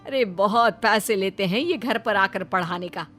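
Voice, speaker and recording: A woman's voice: medium pitch, moderate pace, a bit bright, soft background music, natural voice. A woman voicing a sceptical, money-minded older mother-in-law in a family story. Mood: curious.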